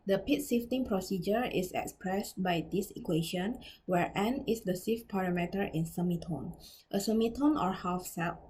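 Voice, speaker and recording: A woman giving a scripted academic presentation as a researcher, reading formally and carefully with slightly halting phrasing. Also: medium pitch, a little mellow, a little hiss or hum, poor audio.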